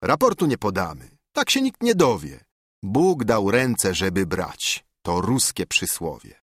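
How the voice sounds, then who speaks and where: A good-natured old Russian army captain from a storybook, acted by a male narrator. He coaxes and wheedles to smooth things over. The delivery is very animated and the sound is dry. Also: fairly low pitch, measured pace, dry recording, heavily acted. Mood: coaxing, sly. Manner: coaxing, persuasive.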